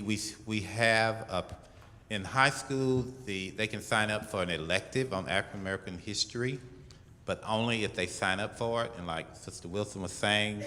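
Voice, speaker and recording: A man leading a church program, addressing the congregation informally and earnestly, halting and repeating words. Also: fairly low pitch, bright, forceful tone. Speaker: program leader at a church event. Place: large hall at a church program. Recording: echoey hall.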